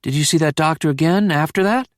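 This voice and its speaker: A man voicing a calm female hypnotist who speaks in a slow, soothing, hypnotic way. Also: fairly high pitch, no echo, studio quality, pitched above the performer's own voice, close to an everyday voice. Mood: coaxing. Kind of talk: hypnotic induction.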